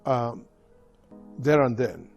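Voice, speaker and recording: An older man with a Nigerian accent reading his memoir aloud in a measured storytelling manner. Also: mid-range voice, lively intonation, creaky, soft background music. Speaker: author reading from his autobiography.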